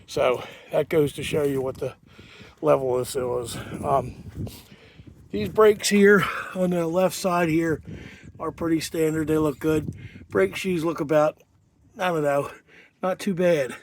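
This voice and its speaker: A man's voice: on the high side, steady tone, measured pace, long pauses, a little hiss or hum. Man, casual garage how-to talk, rambling with fillers, sniffling from a cold, outdoors. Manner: rambling, unpolished, with many fillers and restarts. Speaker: home mechanic.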